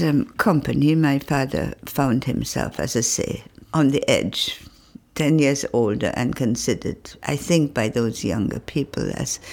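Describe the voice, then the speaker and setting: An older woman, a retired professor, recalling her family in an interview, thoughtful and hesitant with pauses and fillers. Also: extremely low pitch, a little mellow. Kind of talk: reflective interview recollection.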